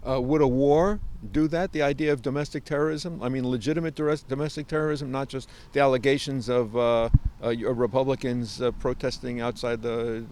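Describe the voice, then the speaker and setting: A man with an average-pitched voice poses interview questions. He speaks haltingly, often searching for the right word, with faint outdoor traffic noise in the recording. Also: fairly even intonation, darkish tone.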